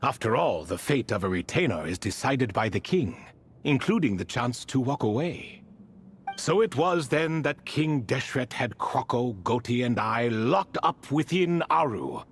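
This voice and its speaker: An actor playing an ancient, wry and refined spirit who tells stories in a proud, wistful way in a video game. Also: male voice, mid-range voice, sing-song, unhurried, slight background noise. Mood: wistful, solemn. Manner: theatrical, refined, leisurely storytelling. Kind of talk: video game character dialogue.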